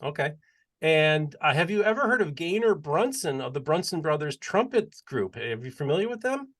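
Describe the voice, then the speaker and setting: A man's voice: a little high, very animated, normal speed, fairly bright tone. A man hosting a podcast interview, chatty and leading the talk with long, rambling questions. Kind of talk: casual interview chat.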